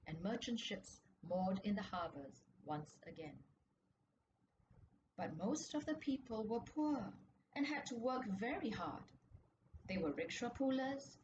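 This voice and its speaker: A woman's voice: medium pitch, lo-fi sound. Woman reading for children, noisy recording. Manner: expressive, explanatory, addressing young listeners. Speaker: author reading her children's book. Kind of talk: children's storybook reading and explanation. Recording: clearly noisy background ambience.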